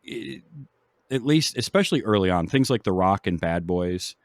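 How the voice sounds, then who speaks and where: A man chatting casually about films as a podcast panelist, thoughtful and measured, building his points from examples. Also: low voice, expressive, dry recording, studio quality. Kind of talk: casual film discussion.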